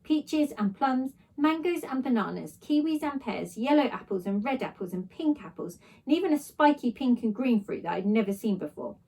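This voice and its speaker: A woman's voice: high voice, expressive, normal speed, few pauses, warm tone, slightly rough, rough recording. A woman reading a children's story aloud expressively, narrating in a child's first person. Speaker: story reader, teacher.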